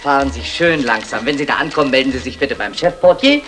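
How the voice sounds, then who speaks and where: A man playing a smooth-talking crook with a wordy, florid manner in a retro German radio crime play. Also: mid-range voice, animated, fast talker, fairly bright tone, lightly acted. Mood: unctuous, effusive. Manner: effusive, florid, wordy politeness. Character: smooth-talking fast-bluffing male crook posing as soap salesman. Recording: retro radio play, faint music behind.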